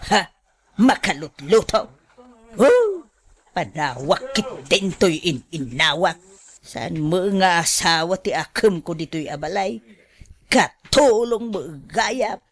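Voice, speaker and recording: A woman's voice: on the low side, big pitch swings, takes it slowly, no room sound, heavily acted. A woman with an Ilocano accent playing a put-upon, plaintive housewife who sighs and complains in a radio drama. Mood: indignant. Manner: plaintive, sighing.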